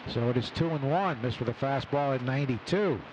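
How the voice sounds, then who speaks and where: A man's voice: medium pitch, a little fast, lo-fi sound. A man doing live radio baseball play-by-play in clipped short phrases. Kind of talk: live radio sports commentary. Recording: noisy outdoor ballpark radio broadcast. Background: steady ballpark noise.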